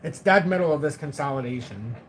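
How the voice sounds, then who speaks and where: A man in his forties chatting through market charts on a live stream, fairly high for a man. He loops back on his phrases and answers his chat as he goes. A faint hum of noise sits under his voice. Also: animated, fairly quick. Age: 40s. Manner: talks to chat, reads viewer comments, repeats himself. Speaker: crypto livestream host. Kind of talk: casual chart analysis livestream.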